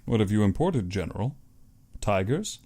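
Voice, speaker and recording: A reserved, polite male hunter, as a man reads him in an audiobook. His voice is mellow and soft-edged. He talks with understatement, like a careful dinner guest. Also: fairly low pitch, big pitch swings, normal speed. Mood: surprised, curious.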